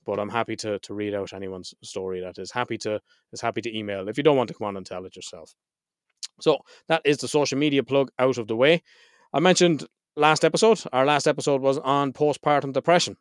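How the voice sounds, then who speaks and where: A man's voice: medium pitch, fairly quick, clean tone, no echo, clean studio sound. A man hosting a mental health podcast, speaking frankly and swearing freely, a little nasal and stuffed up from a head cold. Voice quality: slightly nasal. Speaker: podcast host. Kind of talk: casual solo podcast intro.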